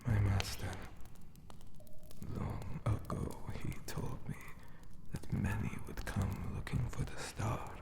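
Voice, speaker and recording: A man's voice: flat delivery, very noisy. A man voicing a lonely, honor-bound Anubis monster who guards an ancient tomb, in a whispered ASMR roleplay with solemn, formal speech. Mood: mournful. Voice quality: breathy whisper with gravelly low tone. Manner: slow, solemn, formal archaic phrasing.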